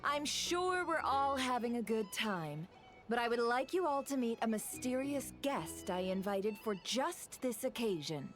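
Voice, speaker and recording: A woman's voice: a little high, animated, a little slow. A woman playing a poised, worldly society hostess in a video game, speaking in a formal, polished way. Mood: gracious, composed. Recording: music behind.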